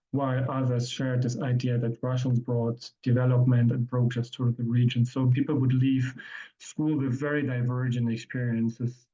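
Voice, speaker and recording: A man presenting his research at an academic conference, speaking in a measured way with frequent ums and restarts. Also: medium pitch, warm tone, dull audio.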